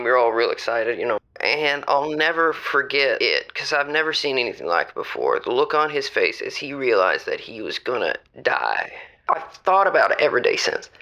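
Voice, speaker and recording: A man's voice: medium pitch, sing-song, normal speed, pushed and bright. A man playing a folksy, rambling, hesitant caller on a radio call-in show. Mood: rueful storytelling. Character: Jamie, folksy, rambling caller burdened with inherited tortoise. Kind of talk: improvised audio drama, call-in.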